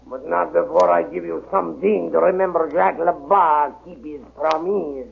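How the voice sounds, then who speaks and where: Creaky, foreign-accented brutish drunken bully. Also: male voice, a little high, normal speed. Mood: menacing, violent. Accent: foreign-accented broken English. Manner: domineering, threatening, broken grammar. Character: big brutish drunken male bully, menacing and boastful. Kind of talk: 1930s radio drama acting. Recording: tinny old radio recording.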